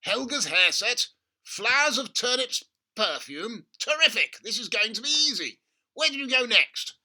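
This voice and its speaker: A man voicing an overbearing, excitable middle-aged father with grand schemes in a storybook reading, exclaiming loudly. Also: very high voice, a little slow, pitched above the performer's own voice, heavily acted. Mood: delighted.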